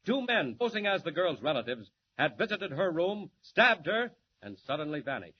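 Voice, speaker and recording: A man's voice: a little high, very animated, moderate pace, husky. A man narrating a 1940s radio serial as its announcer, with suspenseful, theatrical, scripted delivery. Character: dramatic male radio serial announcer recapping the story. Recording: dull, noisy 1940s radio recording.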